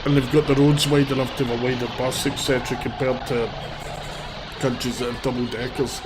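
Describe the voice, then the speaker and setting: Guy with a cold rambling bluntly on a phone livestream, mid-pitched, loud traffic noise behind. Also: level tone, brisk. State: sniffly with a cold. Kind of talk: live street commentary. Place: outdoors on a city street. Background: traffic and vehicles.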